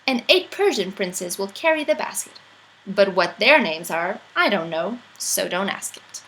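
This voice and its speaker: A woman's voice: mid-range voice, sing-song, measured pace, fairly bright tone, slight background noise, rough recording. A woman reading a rhyming children's picture book aloud in a playful, theatrical storytelling manner.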